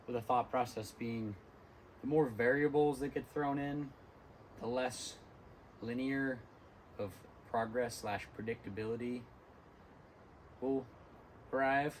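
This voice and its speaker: Hoarse young guy vlogging at the gym, worn out, lots of ums, faint room noise. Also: mid-range voice, fairly even intonation, unhurried, long pauses, low-quality recording. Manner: tired, rambling, many ums. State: hoarse, lost voice from illness. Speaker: fitness vlogger. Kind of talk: casual gym vlog talk.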